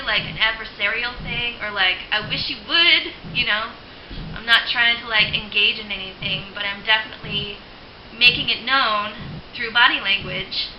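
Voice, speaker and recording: A young woman with a fairly high voice talking casually to camera, chatty and full of ums, likes and laughs. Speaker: video blogger. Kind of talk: casual spoken reflection. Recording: lo-fi roomy home recording with hiss.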